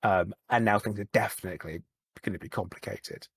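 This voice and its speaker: A man, a podcast guest, talking candidly in a halting way, often repeating words and starting over. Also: on the low side, gravelly, a little hiss or hum. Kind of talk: candid conversational chat.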